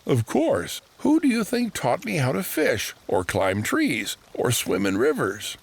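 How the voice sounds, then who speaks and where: A man's voice: a little high, huge pitch swings, a little slow, creaky, slightly rough, pitched above the performer's own voice, full character voice. A man voicing a friendly, goofy magical bear for a children's storybook, in a playful, teasing drawl. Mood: cheerful, wise.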